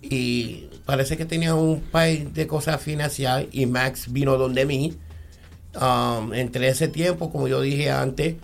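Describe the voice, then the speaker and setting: A man's voice: medium pitch, fairly even intonation, creaky. A man with a Puerto Rican accent, a guest telling his story in an interview, often stopping to repeat words and asking if he is understood. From born in New York, raised in the Bronx. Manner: halting, repeats words, asks "¿entiende?".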